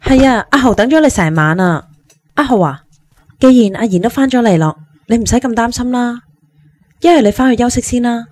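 An actress with a Hong Kong Cantonese accent playing a gentle, caring young best friend in a radio drama. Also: female voice, a little high, animated, a little fast, no echo. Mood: calm, persuading.

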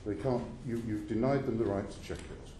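A man presses a witness with pointed questions, his voice low-pitched and mellow. He keeps tripping over his words and restarting, never letting go of the point. The room is large and echoey, with a faint hiss underneath. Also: steady tone, a little fast. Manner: pressing, insistent, stumbles and restarts. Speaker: committee member questioning a witness. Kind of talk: parliamentary committee questioning. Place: parliamentary committee room. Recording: roomy hall sound with faint noise.

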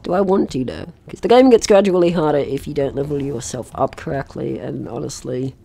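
A man's voice: medium pitch, a little fast, vocal fry, dark tone. A man giving casual let's play commentary, chatty and jokey, talking to himself and the game's enemies. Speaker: gaming channel host.

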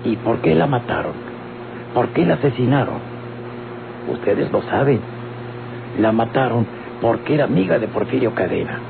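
An actor with a Mexican accent playing a courteous, scheming man in an old radio drama, speaking deliberately and insinuatingly. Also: mid-range voice, animated, creaky, narrow, tinny audio. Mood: accusing. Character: courteous, scheming man pressing women to sell. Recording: noisy, band-limited old radio recording.

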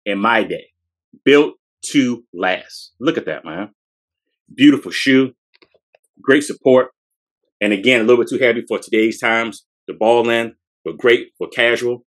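A man in his forties, a sneaker podcast co-host, talks up a shoe from his collection in a hyped, slangy way, often repeating phrases, in a small room. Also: medium pitch. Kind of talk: casual sneaker showcase. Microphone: fixed camera at close range.

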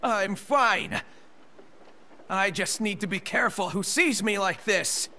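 A man's voice: sits high, slow pace, bright, forceful tone, slight background noise, exaggerated voice. A man voicing a cocky, easygoing young hedgehog hero in a game cutscene, with an AI-converted voice. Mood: uneasy. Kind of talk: animated game cutscene acting.

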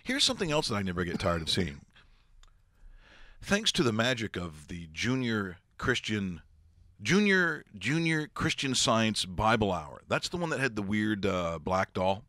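A man in his fifties hosts with casual, mocking commentary. His delivery swings widely with incredulity, in a bright, forceful voice. He is close on the mic in a dry studio. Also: lowish voice, big pitch swings, a little slow, no echo. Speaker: show host. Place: small studio. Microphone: close broadcast microphone, headset monitors.

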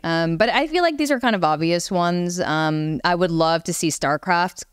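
Smooth, lowish-voiced woman in casual chat. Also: moderate pace, no echo. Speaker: podcast co-host.

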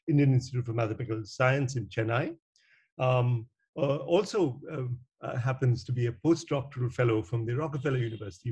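A man moderating a virtual panel, introducing speakers in a halting, searching manner over a video call. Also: mid-range voice, darkish tone, breathy edge. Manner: halting, searching for words, frequent hesitations. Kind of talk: semi-formal spoken introductions at a virtual meeting. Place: indoor room on a video call. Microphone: video call microphone.

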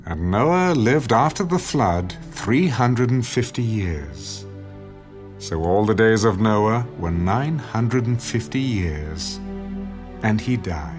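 A man reads scripture as a storyteller. His fairly low voice moves slowly but with lively, wide inflection. The narration is measured and scripted, set over clear background music.

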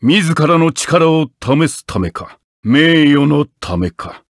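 An actor voicing a stern, honorable armored knight in a fighting game, with a gravelly, husky voice and a solemn, commanding manner. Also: male voice, fairly high pitch, very animated, slow, no echo, clean studio sound, exaggerated voice. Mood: solemn, resolute. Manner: solemn, commanding, forceful battle shouts. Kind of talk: Japanese fighting game character voice acting.

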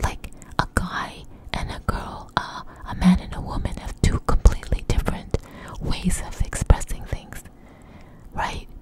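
Woman in a hushed whisper. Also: medium pitch. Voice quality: breathy unvoiced whisper. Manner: conversational, hushed, intimate. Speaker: ASMR storyteller, host. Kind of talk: ASMR close whisper, informal storytelling. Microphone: close to the microphone.

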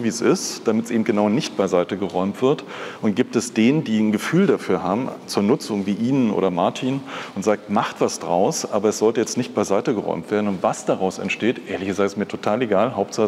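A man's voice: on the low side, brisk, darkish tone. A man in his fifties from East Germany, telling personal stories conversationally as a guest in a talk. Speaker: interview guest, art patron. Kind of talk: reflective personal storytelling in a live talk. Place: large glass-fronted indoor hall. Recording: reverberant hall.

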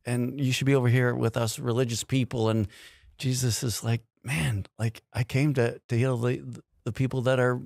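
A man's voice: medium pitch, little pitch movement, moderate pace, vocal fry, a little mellow, no echo, clean studio sound. A man in his fifties hosting a podcast in a casual conversational style, acting out imagined quotes. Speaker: podcast host. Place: small indoor podcast studio. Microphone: close studio mic on stand.

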